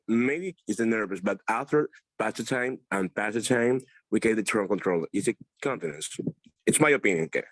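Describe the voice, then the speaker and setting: A man, speaking up in an online class. He talks haltingly, repeats words and searches for phrasing. His voice is fairly low, and the sound is dry. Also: no room sound, rough recording. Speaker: student. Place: video call class.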